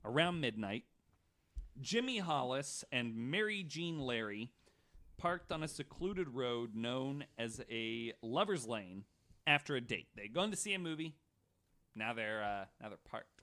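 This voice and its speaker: A man with a bright, forceful voice and a lively, swinging pitch. He narrates true crime as a podcast host in a casual, joking way, swearing freely as he banters. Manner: profane, joking.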